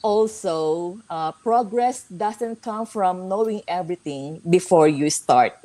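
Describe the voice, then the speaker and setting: A woman speaking as a guest on a livestream, giving a motivational talk in an earnest, halting manner and repeating her points. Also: on the low side, warm tone, rough recording.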